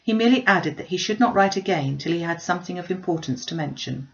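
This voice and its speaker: A woman voicing a gentle, anxious young elder sister in an audiobook of a classic novel, earnest and reassuring. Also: lowish voice, lively intonation, quick pace, gravelly, highs cut off.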